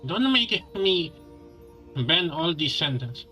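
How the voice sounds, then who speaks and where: A man's voice: fairly high pitch, very animated, slow pace, sharp tone, faint music behind. A man who is a game streamer, reacting live over gameplay.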